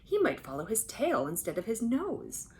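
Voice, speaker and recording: A woman's voice: a little high, huge pitch swings, normal speed, a little mellow, voice pushed up. A woman voicing worried forest animals debating in a storybook, with emphatic, exclamatory delivery. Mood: worried, argumentative. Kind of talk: storybook character voices.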